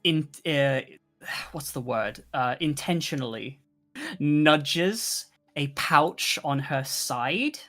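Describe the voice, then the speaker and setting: A woman with a low voice chats as a tabletop player. She feels her way through what her character does, hesitant and casual, over clear background music. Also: lively intonation, extremely slow, fairly bright tone. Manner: hesitant, thinking aloud. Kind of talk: casual game chat, describing character actions.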